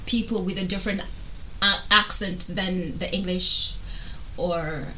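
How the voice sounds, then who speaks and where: Bright-voiced, emphatic woman. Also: fairly low pitch, fairly even intonation, unhurried, slight background noise, low-quality recording. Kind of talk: casual chat with slang.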